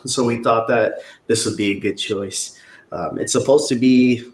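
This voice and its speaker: A man's voice: medium pitch, fairly even intonation, a little slow. A man co-hosting a casual podcast, talking in an easygoing, earnest way. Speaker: podcast co-host. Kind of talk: casual chat. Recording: echoey room.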